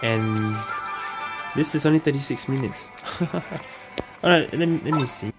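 A woman's voice: a bass voice, unhurried, rough recording. A woman streamer giving casual gameplay commentary and reacting to the game. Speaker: gaming streamer. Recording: game music behind.

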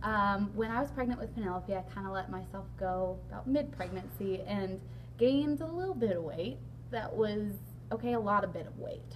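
A young woman with a hoarse, raspy voice from losing it, chatting casually as host of a sit-down interview vlog with lots of ums. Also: mid-range voice, expressive, moderate pace, slight background noise, low-quality recording. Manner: chatty, frequent ums, laughs along. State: hoarse, lost voice. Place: large indoor hall.

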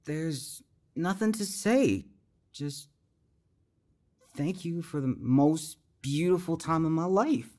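A man's voice: on the high side, expressive, slow, pauses often, dark tone. An actor playing a sweet, earnest young churchgoing man in an audio drama, openly emotional. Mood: heartbroken, pleading.

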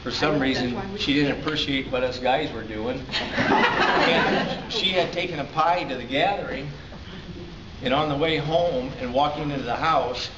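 A man's voice: medium pitch, unhurried. An older man telling a story with chuckles and rambling thanks to coworkers at a company picnic. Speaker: manager speaking before a pie in the face. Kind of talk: casual speech to coworkers. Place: large indoor hall at a company picnic. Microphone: far camera. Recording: echoey hall from far off, dull lo-fi camera audio. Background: noisy crowd of coworkers.

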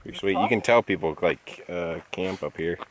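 A man's voice: deep voice, moderate pace, rough recording. A young man chatting casually and slangily in a laid-back, fragmented way on a camera mic outdoors on a trail. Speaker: hiker filming a vlog. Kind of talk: casual chat. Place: outdoors on a forest mountain trail. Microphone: handheld camera microphone. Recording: outdoor trail recording with handling noise.

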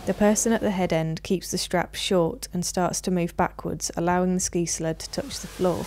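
A woman reads scripted instructional narration in a measured, formal, clearly enunciated manner. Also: fairly low pitch, fairly even intonation, noisy background. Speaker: narrator of a product training video.

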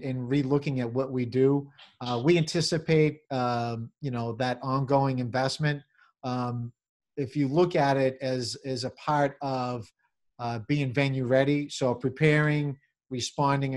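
A middle-aged man answering interview questions on a video call as a venue manager, in halting corporate phrasing with repeated words. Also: medium pitch. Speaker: convention center general manager, interview guest. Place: small office, indoors. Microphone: computer or webcam on video call.

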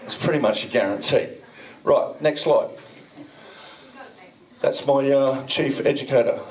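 A man's voice: medium pitch, low-quality recording. Cheeky man on a handheld mic. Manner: cheeky, self-mocking, banters with the audience. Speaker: presenter at a blockchain meetup. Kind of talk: joking conference talk. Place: dim event room with a projector screen.

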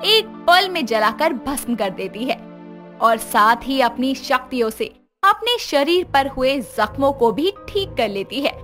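A woman's voice: high-pitched, sing-song, unhurried, over music. A woman narrator reading a scripted dramatic story.

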